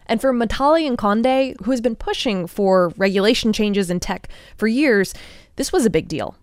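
A woman's voice: a little high, animated, normal speed, dry recording, clean studio sound. An American woman hosting a science podcast in a conversational, explanatory way with emphatic phrasing. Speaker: podcast host and reporter.

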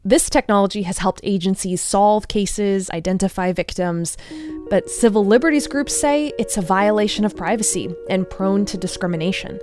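A woman's voice: mid-range voice, moderate pace, a little mellow, faint music behind. An American woman hosting a science podcast in a conversational, explanatory way with emphatic phrasing. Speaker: podcast host and reporter.

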